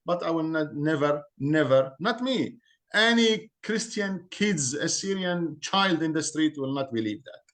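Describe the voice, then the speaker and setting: A man from Syria with an Arabic accent, a community guest speaking earnestly in halting English and repeating words as he searches for them. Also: a little high, expressive, fairly bright tone. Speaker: guest, Assyrian community member. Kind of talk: interview on a call-in podcast.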